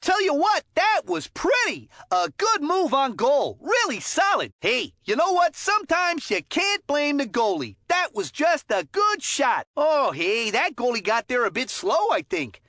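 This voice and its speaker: High-pitched, excitable kid hockey commentator. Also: female voice, unhurried, hardly any pauses, no room sound, studio quality, heavily acted. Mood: excited, admiring. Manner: chirpy, folksy, giggly. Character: excitable, clumsy, good-natured child hockey commentator. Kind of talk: cartoon video game sports commentary.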